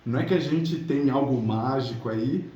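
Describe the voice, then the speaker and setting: Mellow Brazilian man in an echoey room. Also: medium pitch, moderate pace, muffled recording. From São Paulo state, Brazil. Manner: colloquial, conversational, checks in with listeners using tag questions. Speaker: relationship coach, channel host. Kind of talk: casual motivational talk to viewers. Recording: roomy echo, clear background noise.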